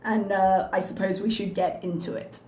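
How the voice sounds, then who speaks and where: A woman with a hoarse, slightly strained voice, chatting casually and wryly as a reaction channel host. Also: medium pitch, fairly even intonation, moderate pace, warm tone, roomy sound, a little hiss or hum. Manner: chatty, wry, self-mocking. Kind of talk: casual chat to camera.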